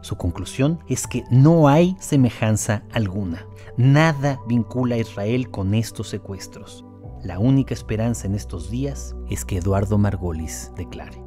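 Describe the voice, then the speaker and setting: A man's voice: fairly low pitch, expressive, a little slow, warm tone, soft background music. A man reading scripted narration for a documentary audio drama.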